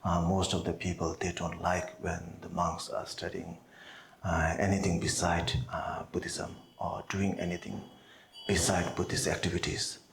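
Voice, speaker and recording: Deep, flat-voiced monk, Tibetan native speaker. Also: male voice, vocal fry. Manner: halting, many pauses and fillers. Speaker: monastery head, Buddhist teacher. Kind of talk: opening remarks before a teaching. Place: monastery hall. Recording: roomy hall, faint background noise.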